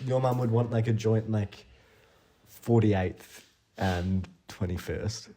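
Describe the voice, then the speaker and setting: A man's voice: fairly low pitch, slow, mellow, a little hiss or hum. A young man co-hosting a podcast, chatting casually, laughing often and swearing. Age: young adult. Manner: laughing, playful, tells stories and swears. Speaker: podcast co-host. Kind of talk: casual chat between friends.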